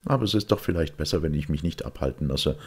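A man's voice: extremely low pitch, fast, a little mellow, breathy edge, heavily acted. A man voicing a bitter, impatient young secretary, speaking urgently and emphatically in an audiobook detective story. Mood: confiding.

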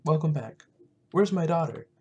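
A man's voice: fairly high pitch, lively intonation, moderate pace, a little mellow, breathy edge. A man voicing a stern, distrustful fantasy king and father in a game, demanding and indignant.

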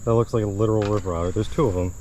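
A man's voice: lowish voice, fairly even intonation, racing, warm tone, slight background noise. Laid-back man vlogging by a pond. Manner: chatty, easygoing, thinking aloud. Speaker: fishing vlogger. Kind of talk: casual outdoor vlog narration. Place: outdoors at a marsh pond. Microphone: action camera worn on body.

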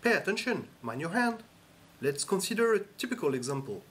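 A man giving scripted instructional narration in a measured, instructive way. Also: high voice, sing-song, fairly bright tone, slightly rough. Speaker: training narrator.

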